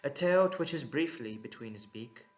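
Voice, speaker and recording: A man's voice: mid-range voice, expressive, a little slow, over loud music. A man giving dramatic scripted narration for an audio drama.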